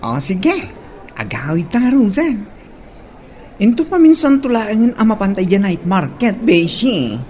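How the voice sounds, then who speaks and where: A woman's voice: medium pitch, big pitch swings, measured pace, long pauses, mellow, slight background noise. A woman playing a blunt, nagging friend in an Ilocano comedy drama. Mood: brisk, chatty.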